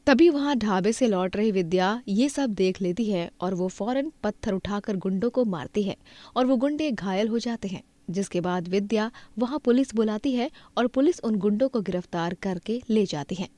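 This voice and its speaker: A woman's voice: medium pitch, moderate pace, mellow, no room sound. A woman reading scripted narration for a cartoon moral story.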